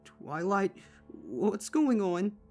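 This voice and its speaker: A woman's voice: medium pitch, sing-song, extremely slow, dark tone, heavily acted. A woman voicing a frightened young male baby dragon with a nervous, warbling whimper. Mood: panicked. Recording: music behind.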